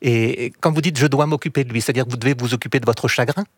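A man hosting a radio interview, asking measured, probing questions. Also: mid-range voice, dry recording, studio quality. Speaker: interviewer, host.

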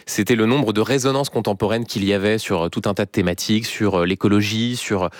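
A man with a fairly low voice conducts a live radio interview. He speaks as a host, haltingly reworking his questions as he goes. The sound is close and dry, as in a broadcast studio. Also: steady tone, dry recording. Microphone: close studio microphone.

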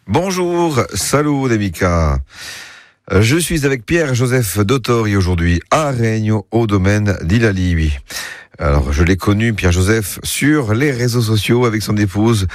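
Low-voiced radio interviewer, polite. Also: male voice, expressive, measured pace, dry recording, studio quality. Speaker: radio reporter.

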